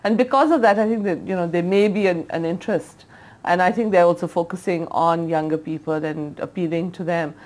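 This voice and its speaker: A woman's voice: on the low side, a little fast, darkish tone. A woman hosting a TV interview, asking frank, probing questions and teasing her guest lightly. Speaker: TV interviewer host. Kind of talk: TV interview conversation.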